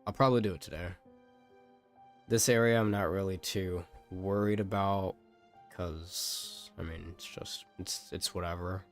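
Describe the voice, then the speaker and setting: Low-pitched man rambling through casual game commentary. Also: steady tone, unhurried. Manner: rambling, thinking aloud. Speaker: game streamer. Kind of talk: casual live gameplay commentary. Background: game music.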